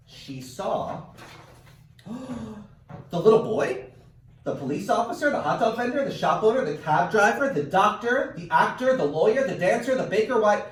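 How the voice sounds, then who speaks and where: Male storyteller for children's read-aloud, animated and dramatic, heavily reverberant room sound. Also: high voice. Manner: dramatic, drawn-out word emphasis. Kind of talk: expressive children's picture-book read-aloud with asides to parents and kids. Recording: echoey room, distant microphone.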